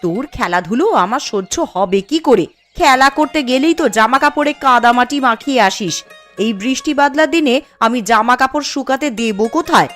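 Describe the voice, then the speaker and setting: A practical, nagging village mother played by a woman in a cartoon, the wife of a poor farmer. Her voice sits fairly high and sounds exasperated, with music underneath. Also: animated, fairly quick, a bit bright. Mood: exasperated scolding. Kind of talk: cartoon voice acting.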